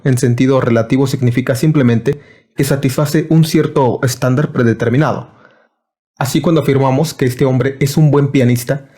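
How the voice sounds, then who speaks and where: A man giving a measured, formal scripted reading of a philosophy lecture. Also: mid-range voice, warm tone.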